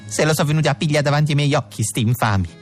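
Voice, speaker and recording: An actor playing a mob boss's wife with a Neapolitan accent, mournful and theatrical, in a comic radio drama. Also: male voice, a little high, racing, faint music behind, natural voice. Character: mob mother, dramatic and resigned.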